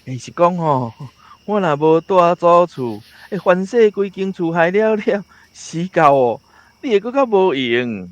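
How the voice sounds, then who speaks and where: A man's voice: sits high, expressive, measured pace, no room sound. An actor with a Taiwanese accent playing a talkative, opinionated male villager in a radio drama. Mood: defensive. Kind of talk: conversational radio drama acting.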